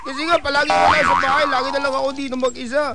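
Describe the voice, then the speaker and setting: Very high, whiny sulky teenage son. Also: level tone, racing, a loud crowd. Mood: defiant, whiny. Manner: whiny, petulant. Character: sulky, defiant teenage son, whining at his mother. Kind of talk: radio drama acting.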